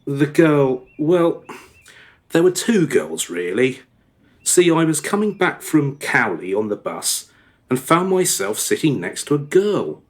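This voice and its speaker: A man's voice: a little high, sing-song, slow. An actor playing a remorseful married father in a radio play, confessing his past in a rambling, hesitant, over-descriptive way. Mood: sheepish, confiding. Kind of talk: radio play dialogue, storytelling monologue.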